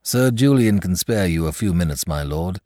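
A deferential household servant in an audiobook, read by a man. He speaks low, formal and unhurried. The voice is dry and close. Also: expressive, dark tone, no room sound.

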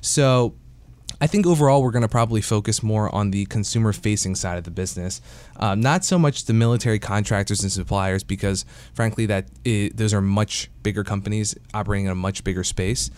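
A man's voice: lowish voice, a little fast, a little mellow, clean tone. A man hosting an investing podcast in a casual, conversational way, with frequent fillers. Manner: conversational, many fillers like "you know" and "uh". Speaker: podcast host.

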